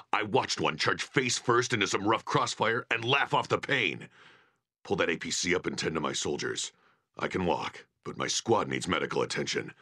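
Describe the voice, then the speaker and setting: A battle-worn male squad commander from a video game. His voice is low and raspy with a hard, forceful edge. He talks bluntly, like a gruff veteran soldier. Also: full character voice. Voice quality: raspy, rough. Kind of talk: video game character acting.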